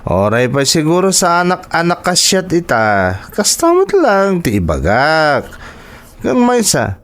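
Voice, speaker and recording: A man's voice: on the high side, wildly swooping pitch, measured pace, a bit bright, heavily acted. A man playing a tipsy, boastful grown son in love in a radio drama, with an Ilocano accent.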